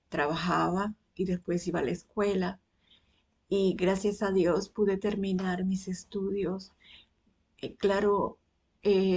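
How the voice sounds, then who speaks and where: A Cuban woman in her sixties giving a personal faith testimony, unhurried and pausing often between phrases. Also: medium pitch, gravelly, warm tone. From Cuba. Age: 60s.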